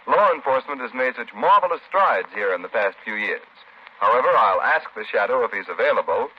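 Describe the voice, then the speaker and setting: A man's voice: mid-range voice, sing-song, brisk, slightly rough. An American man announcing in scripted 1930s radio style, formal, polished and emphatic. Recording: muffled, noisy 1930s radio broadcast.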